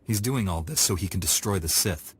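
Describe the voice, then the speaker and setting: An actor playing a confident young Jedi knight with a wry, dry manner. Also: male voice, low-pitched, expressive, a little fast. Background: game score music.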